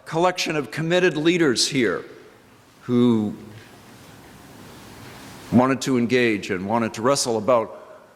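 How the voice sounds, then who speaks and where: An older man speaking as a minister in a reflective church address, with deliberate, halting phrasing and pauses for emphasis. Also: mid-range voice. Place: large indoor church sanctuary. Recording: reverberant church hall with background noise.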